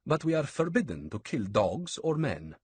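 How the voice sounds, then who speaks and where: A man's voice: medium pitch, very animated, moderate pace, darkish tone, husky, dry recording, voice pushed up. A man voicing a pious, evasive male Persian priest in a raised, sing-song voice.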